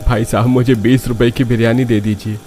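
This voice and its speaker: A man's voice: mid-range voice, level tone, a little fast, mellow, faint music behind, close to an everyday voice. An actor playing a poor, helpless village father in a cartoon, pleading and sorrowful.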